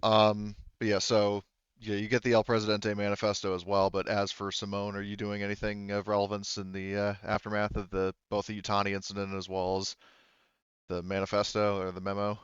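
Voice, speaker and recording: A man with a low, smooth voice runs a tabletop game in a casual way. He often rephrases as he goes. The close recording is a little dull. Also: completely flat delivery, brisk, no room sound. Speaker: game master. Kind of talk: casual game-running talk.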